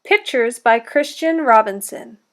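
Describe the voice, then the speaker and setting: A woman teacher giving an interactive storybook read-aloud for children, speaking in an instructive, deliberate way. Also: fairly high pitch, lively intonation, fairly bright tone.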